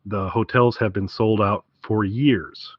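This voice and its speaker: A man's voice: lowish voice, steady tone, slow pace, slightly rough, no room sound, dull audio. A man co-hosting a podcast, chatting casually with an amused, wry, conversational manner. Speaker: podcast co-host.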